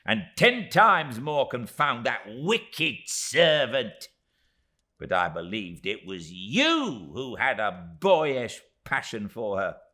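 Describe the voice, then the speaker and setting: A man's voice: mid-range voice, big pitch swings, takes it slowly, sharp tone, pitched above the performer's own voice, heavily acted. A man reading a storybook and voicing a tiny, emphatic, indignant middle-aged woman in distress. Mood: furious, distressed.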